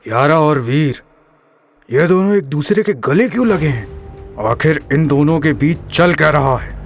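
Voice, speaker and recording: A man's voice: fairly high pitch, animated, normal speed, faint music behind. A man playing a fussy middle-aged father in a comic audio drama. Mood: suspicious.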